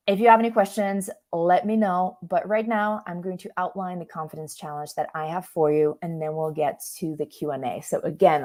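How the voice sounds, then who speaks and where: A woman's voice: on the low side, darkish tone. A woman from Russia, a native Russian speaker, presenting an online coaching workshop in a conversational, informal way, often interrupting herself and checking in with listeners. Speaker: career coach, workshop host.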